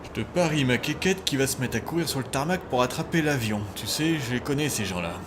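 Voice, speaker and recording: A cynical, crude ticket clerk, acted by a man in a parody dub. His medium-pitched voice is deadpan, with clear background noise. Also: fast, lightly acted. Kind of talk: comedy film parody dub.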